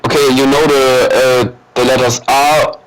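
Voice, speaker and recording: A man with a German accent speaks very slowly in a game voice chat, with many fillers. He patiently spells out words letter by letter. His pitch sits in the middle range, and a faint noise runs behind him. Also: little pitch movement, low-quality recording. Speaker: gamer teammate. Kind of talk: casual voice chat while gaming.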